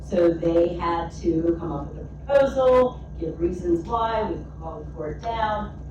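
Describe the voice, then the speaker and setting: A woman's voice: lowish voice, expressive, a little slow. A woman teacher chatting enthusiastically about her students' project. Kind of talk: informal remarks at a meeting. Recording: very echoey room, far mic, noisy.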